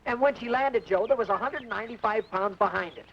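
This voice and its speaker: High-voiced young greenhorn, rambly and jokey, dull old film sound with faint hiss. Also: male voice, brisk, gravelly. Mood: joking. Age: young adult. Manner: rambling, joking, laughs at himself. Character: chatty, hapless young greenhorn man. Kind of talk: 1950s western film dialogue.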